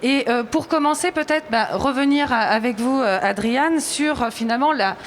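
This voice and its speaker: A woman's voice: sits high, moderate pace, a little hiss or hum. A woman moderating a conference round table, introducing guests and asking questions with frequent hesitations. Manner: hesitant, many fillers. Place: conference hall.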